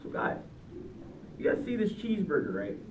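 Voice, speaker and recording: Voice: mid-range voice, sing-song, normal speed, noisy background. A teacher doing an interactive read-aloud, prompting listeners with questions, recorded from a distance in a roomy space. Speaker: teacher reading aloud to children. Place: indoor room with a group of children.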